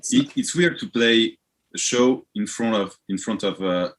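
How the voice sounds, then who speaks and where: A man from France with a French accent, a band guest chatting casually and haltingly in an interview. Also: on the low side, a bit bright, a little hiss or hum, poor audio.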